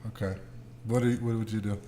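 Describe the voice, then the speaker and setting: A man's voice: lowish voice, little pitch movement, fast, warm tone. A man hosting a podcast in a casual, joking group chat, speaking informally with slang and swearing. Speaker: podcast host. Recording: noisy room ambience.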